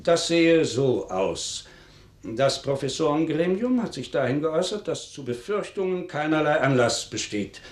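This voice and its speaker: Conscientious professor, animated and roomy. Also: male voice, on the high side. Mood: grave, persuasive. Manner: formal, measured, rhetorical. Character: conscientious, hesitant physics professor. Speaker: actor in a radio play. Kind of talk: 1950s German radio drama acting. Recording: roomy, echoing 1950s radio production.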